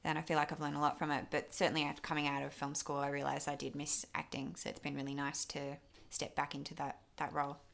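A young Australian woman speaks in a low register with plenty of vocal fry. She talks casually as an interview guest, candid and a little rambling, often qualifying or talking herself down. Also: completely flat delivery, fast, hardly any pauses, fairly bright tone. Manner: candid, self-deprecating, rambling. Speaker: interview guest, actor and filmmaker.

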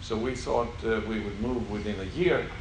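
An older man with a Swedish accent tells his testimony to a gathering in a low-pitched voice. He speaks haltingly, with many fillers and small laughs. The room is echoey and has a clear layer of background noise. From Sweden. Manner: halting, unhurried storytelling with fillers and soft laughs. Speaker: guest speaker.

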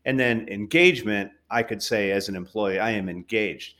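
A man's voice: fairly low pitch, moderate pace, a bit bright. An American man hosting an interview, chatting and rephrasing the guest's ideas to check them. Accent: General American. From United States. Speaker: podcast host. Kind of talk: interview questions.

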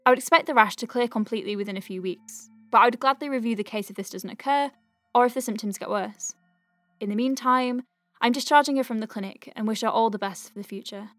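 A high-pitched female voice from an actress plays a young doctor in an audio drama. She is wry and soft-hearted, dictating clinic notes in a chatty, informal way. Music runs behind her. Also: lightly acted. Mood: professional, dictating. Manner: chatty, wry, hesitant asides. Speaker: lead voice actor.

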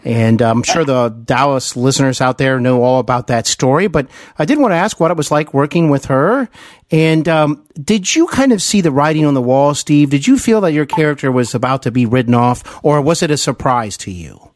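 A man's voice: mid-range voice, animated, normal speed, no room sound, clean studio sound. A man hosting a podcast interview, speaking politely and deferentially in a conversational style. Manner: polite, deferential, affirming the guest.

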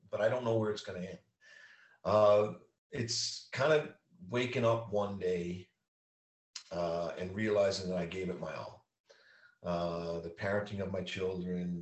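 A deep-voiced man hosts a podcast conversation, pausing often with ums and uhs. He sits back from the mic, and the room around him rings with echo. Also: far from the mic. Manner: halting, many ums and uhs. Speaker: podcast host. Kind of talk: casual interview conversation. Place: Newfoundland. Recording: echoey room, away from mic.

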